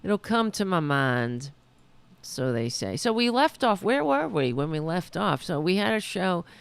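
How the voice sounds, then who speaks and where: A woman hosting her own liberal talk show, chatting loosely and correcting herself as she goes, a little hoarse. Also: lowish voice, big pitch swings, measured pace. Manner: rambling, self-correcting, chatty. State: losing her voice. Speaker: podcast host. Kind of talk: casual political talk show.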